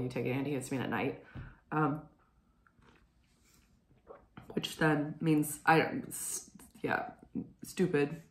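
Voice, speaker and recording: Hoarse, low-voiced woman host, echoey room. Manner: rambling, chatty, clears throat often. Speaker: knitting show host. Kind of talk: casual chat.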